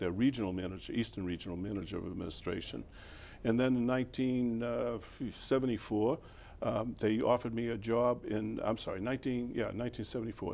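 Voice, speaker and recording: An older man with a Boston accent, a former mayor recalling his life in a relaxed, rambling interview with fillers and chuckles. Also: fairly low pitch, vocal fry.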